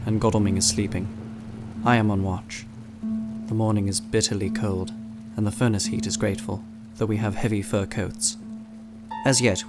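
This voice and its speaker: An actor playing a grave, determined young Englishman reading his journal in a measured way for a radio play, with music behind him. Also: on the low side, natural voice. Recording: music under the voice. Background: loud music.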